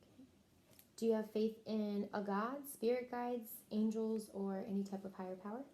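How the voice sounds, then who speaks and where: A woman's voice: medium pitch, flat delivery, normal speed, warm tone. A woman conducting a health history interview in the manner of a clinical questionnaire, as a nurse taking down a patient's answers.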